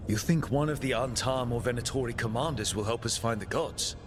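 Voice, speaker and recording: A man's voice: mid-range voice, brisk, over music, natural voice. A man playing a supportive team leader in a fantasy game, earnest with a lightly wry edge. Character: steady, supportive male hero leading a team, wry. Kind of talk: cinematic fantasy game dialogue.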